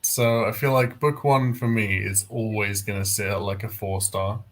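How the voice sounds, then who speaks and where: A man's voice: fairly low pitch, fairly even intonation, normal speed, a little hiss or hum. A man talking casually as a co-host in a book discussion. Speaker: read-along co-host. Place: online live video chat.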